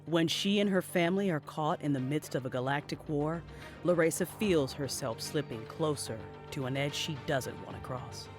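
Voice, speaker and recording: A man announcing a promo in scripted, dramatic trailer narration. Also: a little high.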